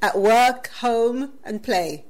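Admiring woman interviewer, slightly high. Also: slow, darkish tone. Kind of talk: interview questions and praise.

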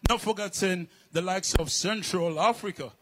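A man hosting a live festival broadcast, rallying the crowd with energetic talk. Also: sits high, normal speed, background noise. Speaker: festival radio host.

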